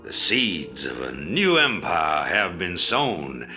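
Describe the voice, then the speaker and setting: A man's voice: low voice, big pitch swings, slow, over music, full character voice. An actor playing a ruthless male rebel leader in a video game cutscene, speaking in a cold, commanding, menacing way.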